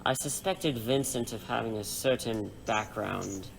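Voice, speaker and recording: A man playing a menacing crime boss in an audio drama, with a mockingly polite, formal, unhurried manner. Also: fairly low pitch, vocal fry, slight background noise, rough recording. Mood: cool threat. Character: suave, menacing male crime boss interrogating captives.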